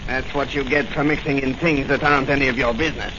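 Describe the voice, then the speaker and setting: An actor playing a smug, rough gangster henchman in 1940s radio serial style. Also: mid-range voice, fairly even intonation, quick pace, natural voice. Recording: muffled, very noisy old radio recording.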